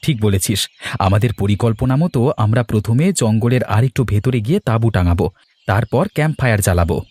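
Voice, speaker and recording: A man's voice: on the low side, animated, fairly quick, a little mellow, slightly rough, dry recording. Man acting a dry-sounding keen young villager. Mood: keen, decided. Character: young village man, Palash, planning a forest camp. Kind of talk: Bengali audio drama acting.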